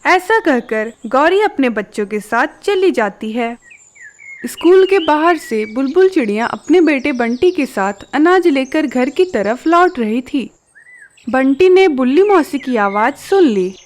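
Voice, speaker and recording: High-pitched woman narrating a children's story. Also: expressive, unhurried.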